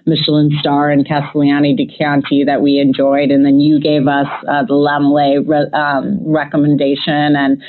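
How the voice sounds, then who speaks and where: Low-voiced woman on a tinny phone line. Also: completely flat delivery, normal speed, hardly any pauses. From United States. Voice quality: self-described loud voice. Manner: chatty, with frequent fillers and restarts. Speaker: guest describing a family trip. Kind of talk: casual conversational storytelling.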